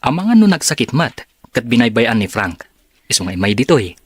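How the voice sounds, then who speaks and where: A man's voice: medium pitch, big pitch swings, a little fast, slightly rough, no echo, studio quality. A man acting an inquisitive, skeptical young man in an Ilocano audio drama. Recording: background music and crowd sounds.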